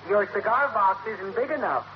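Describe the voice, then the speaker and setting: A man's voice: high-pitched, animated, moderate pace. An actor playing a dryly teasing egg delivery man in an old radio sitcom. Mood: wry. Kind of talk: 1940s-50s radio sitcom acting. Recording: muffled, noisy vintage radio recording.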